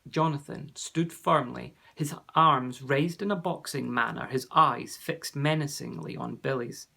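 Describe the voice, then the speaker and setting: A man reading a children's story aloud with expressive storytelling. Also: medium pitch, a little slow, gravelly. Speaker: narrator.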